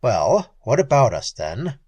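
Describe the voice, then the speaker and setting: A man voicing an indignant, gruff male space captain who growls his words. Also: mid-range voice, very animated, slow pace, a little mellow, no echo, lo-fi sound, heavily acted.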